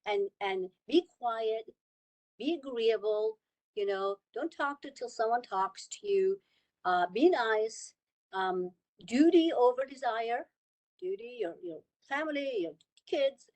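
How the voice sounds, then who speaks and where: A woman's voice: on the high side, lively intonation, takes it slowly, darkish tone, rough recording. Iranian-born woman on a video call panel. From Iran. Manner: earnest, repeats words for emphasis. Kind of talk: panel discussion remarks.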